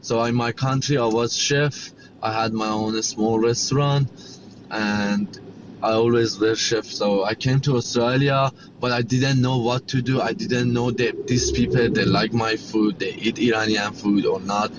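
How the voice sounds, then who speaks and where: A middle-aged man with a Persian accent tells his story in halting, repetitive learner's English. His voice sits at an ordinary pitch for a man. The audio is somewhat muffled, with clear noise underneath. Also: steady tone. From Iran. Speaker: guest, chef and cafe owner. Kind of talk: interview storytelling.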